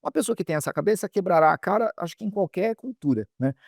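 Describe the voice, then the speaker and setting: A man from Brazil hosts a conversation. His voice sits slightly high and he thinks aloud, with "eh" fillers and restarts as he builds long questions. The recording is close and dry. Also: expressive, fast, creaky, darkish tone, no echo. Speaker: interviewer host. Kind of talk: casual interview conversation.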